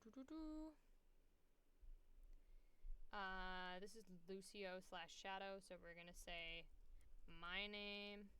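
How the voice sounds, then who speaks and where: A woman's voice: medium pitch, takes it slowly, pauses often, sharp tone, smooth. A woman talking casually and thinking aloud through a screen-share walkthrough, blunt, self-deprecating and prone to swearing. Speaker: voice actor giving a walkthrough.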